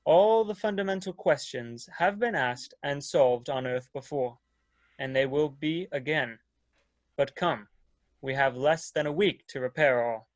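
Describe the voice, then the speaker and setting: An actor playing a courtly, earnest leader of a space expedition, with a formal, old-fashioned, oratorical manner in a dramatic reading. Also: male voice, a little high, a little slow, no echo, close to an everyday voice. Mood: earnest, stirring. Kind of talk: dramatic reading of science fiction.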